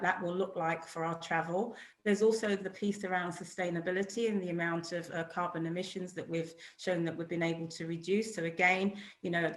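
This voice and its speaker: Woman, lowish voice, businesslike, list-making, echoey room, video call. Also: a bit bright. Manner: businesslike, piling up lists and restarts. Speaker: corporate travel buyer, panelist. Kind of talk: webinar panel discussion.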